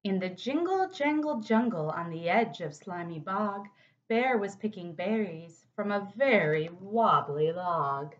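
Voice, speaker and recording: An American woman in her thirties reads a children's story aloud. Her delivery is expressive and takes its time, at an average pitch for a woman. She is recorded on a computer microphone that sounds a bit dull. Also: a little slow. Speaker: city council member reading to children. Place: home office, indoors. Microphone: computer microphone at desk distance. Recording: slightly dull webcam audio.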